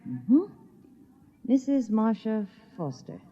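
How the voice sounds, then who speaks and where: An actress playing a polite, efficient stewardess on a moon rocket in a 1950s radio drama. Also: female voice, fairly high pitch, sing-song, slow pace, slightly rough, heavily acted. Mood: concerned, officious. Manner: formal, efficient, official. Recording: dull 1950s radio recording.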